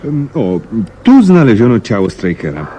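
An actor playing an earnest, dutiful and somewhat puzzled police inspector in a 1970s Polish radio drama, with hesitant delivery. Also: male voice, mid-range voice, wildly swooping pitch, normal speed, mellow, faint music behind. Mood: hesitant, eager. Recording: dull, faintly noisy old radio recording.

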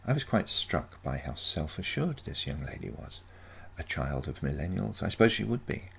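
A man's voice: extremely low pitch, sing-song, normal speed, vocal fry, fairly bright tone, a little hiss or hum. A man reading a scripted first-person short story as narrator. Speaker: story reader.

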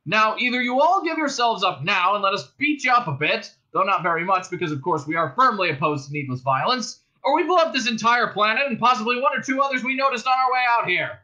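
A man's voice: high-pitched, sing-song, fast talker, hardly any pauses, voice pushed up, exaggerated voice. A man voicing a self-pitying, gun-happy space cop in a comic sci-fi audiobook, calling out in an earnest, indignant way. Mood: shouted, threatening. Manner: shouting through a bullhorn, earnest and indignant.

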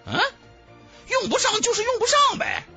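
A man voicing a brash, excitable young male cultivator in a fantasy audiobook, blurting out his lines in an incredulous, exclamatory way. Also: very high voice, normal speed, soft background music, pitched above the performer's own voice, full character voice. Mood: dismissive. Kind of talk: fantasy audiobook character acting.

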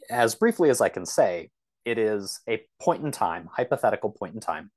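A man giving conversational interview answers as a podcast guest, explaining things in a thoughtful, hesitant way. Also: on the low side. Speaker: podcast guest, author and computer science instructor. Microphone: video call.

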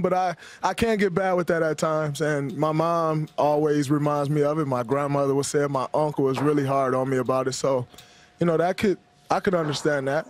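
A young man guest on a postgame studio set, speaking into a handheld mic in a relaxed, thoughtful way with many fillers. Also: fairly high pitch. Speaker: guest player.

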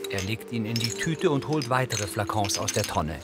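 A man giving measured, matter-of-fact scripted narration of on-screen action in the present tense. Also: on the low side, expressive, over loud music. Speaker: audio description narrator.

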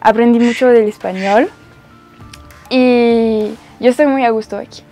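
A woman's voice: fairly high pitch, steady tone, unhurried, mellow, soft background music. A young woman from France with a French accent, an exchange student telling her story in simple, searching phrasing. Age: young adult. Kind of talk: interview testimony. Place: studio set. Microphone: lapel.

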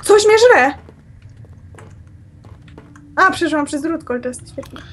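A woman's voice: sits high, animated, slow. A woman chatting while playing a game with a friend, giggling often and playfully complaining. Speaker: co-player. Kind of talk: casual let's-play chat.

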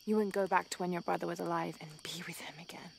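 A weary young woman adventurer in a video game, grieving and reflective. Her voice is low and creaky, kept to a breathy hush, with faint noise behind it. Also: fairly even intonation, quick pace. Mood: soft, pensive. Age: young adult. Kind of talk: intimate video game cutscene dialogue.

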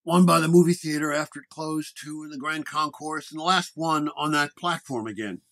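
A man's voice: a little high, normal speed, a bit bright, natural voice. A man voicing a middle-aged, bureaucratic police chief, officious and wordy, in a radio horror drama. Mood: businesslike. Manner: officious, wordy, stammers under pressure.

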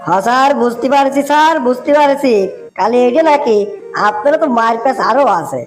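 A woman's voice: sits high, animated, fairly quick, soft background music. A woman with a northern Bangladeshi accent voicing a cheeky male underling in a comic cartoon, deferential to the boss yet needling with questions. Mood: knowing, cheeky.

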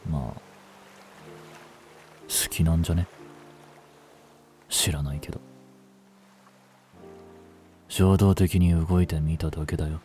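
A man playing a young delinquent in a voice drama, listless and blunt, with rough slangy talk and a caring streak. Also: deep voice, steady tone, slow pace, pauses often, warm tone, soft background music. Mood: languid, casual. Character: gruff, languid young male delinquent, secretly caring. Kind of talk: Japanese voice drama for female listeners.

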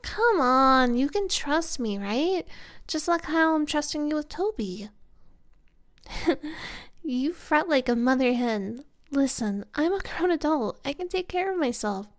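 A woman playing an easygoing, playful young kidnap captive who loves gaming, speaking softly and casually in an ASMR roleplay audio drama. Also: high voice, animated, unhurried. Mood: pleading, reassuring. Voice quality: soft. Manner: casual, teasing, conversational.

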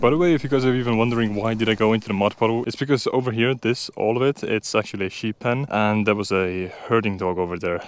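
A man's voice: fairly low pitch, fast, few pauses, faint music behind. A young man, chatting candidly to viewers as he fishes along a riverbank, recorded on a body-worn action camera outdoors. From Estonia. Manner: chatty and candid, talks to viewers while fishing. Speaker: fishing vlogger. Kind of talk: casual outdoor vlog chat.

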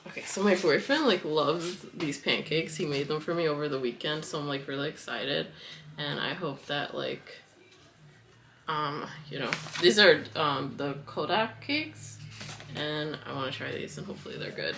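A woman's voice: sits low, normal speed, vocal fry, a bit bright, a little hiss or hum. A woman in her thirties chatting casually through a grocery haul in her home kitchen, with lots of fillers. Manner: chatty, loose, many fillers and likes. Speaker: wellness vlogger. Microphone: camera on the counter, moving in and out of frame.

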